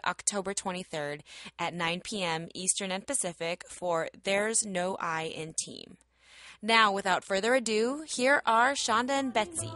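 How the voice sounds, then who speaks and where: A woman reads a scripted podcast intro in a polished, even way. Her voice sits in the middle range. The sound is close and dry, with faint music underneath. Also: medium pitch, no room sound. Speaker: podcast announcer.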